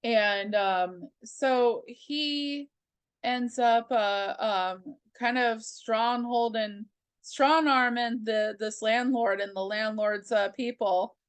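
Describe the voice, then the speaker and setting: A woman's voice: a little high, takes it slowly, smooth, dry recording. A woman hosting a comics review, retelling a story in casual, slangy, chatty language and slipping into the characters' dialogue. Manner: slangy and informal, acts out characters' dialogue in own voice. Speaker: host of a comics review show.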